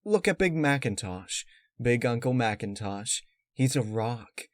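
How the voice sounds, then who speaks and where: A man's voice: mid-range voice, lively intonation, measured pace, dry recording, studio quality, close to an everyday voice. A man voicing an earnest, bookish young mare, a reassuring friend, in a story reading.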